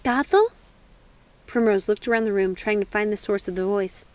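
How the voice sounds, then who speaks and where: A woman's voice: mid-range voice, flat delivery, brisk, a little mellow. A woman reading scripted storybook narration as an audiobook reader.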